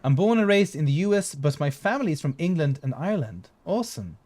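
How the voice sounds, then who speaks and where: A man's voice: on the high side, very animated, quick pace, a little mellow. A man from southern Sweden, streaming a game and chatting casually with viewers. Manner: chatty, restarts and fillers, reads chat aloud.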